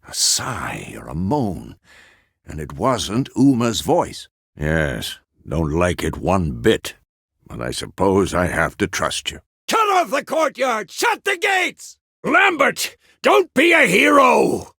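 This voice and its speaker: A gruff old monster-hunter mentor from a fantasy game. His gravelly, husky voice swings freely in pitch, and he speaks in terse, wry phrases. The recording is close and dry. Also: big pitch swings, slow pace, no room sound, full character voice. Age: older. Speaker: video game voice actor. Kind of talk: scripted video game character dialogue.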